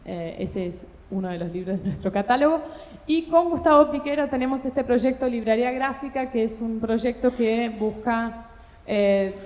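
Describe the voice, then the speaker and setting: A woman's voice: on the high side, a little mellow. A woman publisher on a book fair panel, speaking Spanish tinged by Portuguese and explaining her work in a thoughtful, hesitant way with many filler sounds. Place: talk hall at a book fair. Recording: echoey hall with long reverb.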